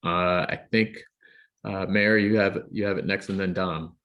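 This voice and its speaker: A man's voice: low voice, fairly even intonation, unhurried, a bit bright. A man moderating a community panel, polite and hesitant, with many fillers.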